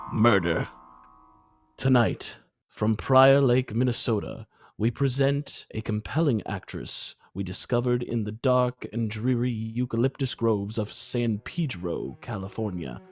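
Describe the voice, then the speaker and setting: A man giving a theatrical old-time radio introduction as announcer, dramatic, deliberate and ominous. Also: fairly low pitch, no echo, studio quality. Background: music.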